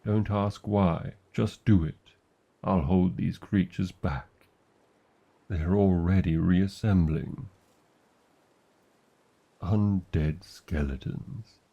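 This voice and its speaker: A man's voice: low-pitched, drawn-out words, vocal fry, pauses often, slightly rough, lo-fi sound, exaggerated voice. A man with a British accent voicing a huge, proud, protective dragon lover in a fantasy ASMR roleplay, speaking in formal, theatrical phrases with tender endearments and wry disdain.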